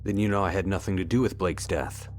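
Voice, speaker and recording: A man's voice: sits low, steady tone, quick pace, darkish tone, lightly acted. An actor playing an earnest, wary amateur investigator and worried father in an adventure game, questioning in a guarded, restrained way. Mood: guarded, curious. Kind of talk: adventure game dialogue acting. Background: music.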